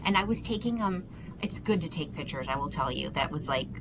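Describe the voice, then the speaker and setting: A woman's voice: sits low, expressive, brisk, a crowd in the background, low-quality recording. A fifty-year-old woman from Buffalo, New York, a podcast guest who talks in a friendly, modest way with frequent ums. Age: 50s. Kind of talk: casual interview chat.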